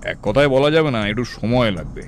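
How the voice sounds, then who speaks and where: A man's voice: medium pitch, lively intonation, fast talker. A man narrating a dramatised cartoon story as its storyteller. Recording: faint music behind the voice.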